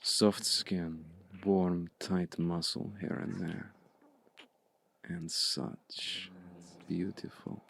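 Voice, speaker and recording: A man playing a seductive, domineering vampire hypnotist in an intimate ASMR roleplay, speaking in a hushed, deliberate, breathy voice that often slips toward a whisper. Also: flat delivery, a little hiss or hum, heavily acted, rough recording. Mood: seductive, coaxing. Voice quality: low, breathy, gravelly, often slipping into whisper. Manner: deliberate, commanding, coaxing with long pauses.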